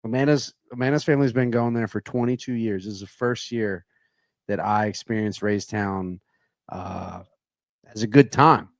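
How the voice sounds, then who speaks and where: A man's voice: fairly low pitch, normal speed, dry recording. A man from Baltimore co-hosting a casual chat, swearing freely and laughing as he tells stories. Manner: profane, laughing, storytelling. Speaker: podcast co-host.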